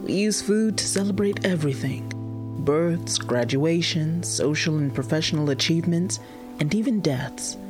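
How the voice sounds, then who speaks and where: Old great-grandmother, low female voice, folksy, gracious, proud, tender, audio drama, guitar music behind. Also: lively intonation, moderate pace. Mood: warm, proud. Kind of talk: audio drama character acting.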